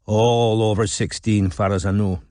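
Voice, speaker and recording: A man's voice: fairly low pitch, fairly even intonation, unhurried, warm tone, close to an everyday voice. A man voicing a blunt, streetwise Scottish investigator with a coarse, slangy manner in an audiobook. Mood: dry, matter-of-fact. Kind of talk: audiobook character dialogue.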